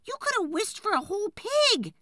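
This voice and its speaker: A shrill storybook wife, put on by a woman reader, high and wildly swooping. She is scolding and exasperated, leaning hard on her words and stretching them out. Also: very animated, bright, forceful tone, dry recording, studio quality, full character voice. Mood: scolding, outraged. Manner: scolding, emphatic, drawn-out words. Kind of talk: storybook character voice.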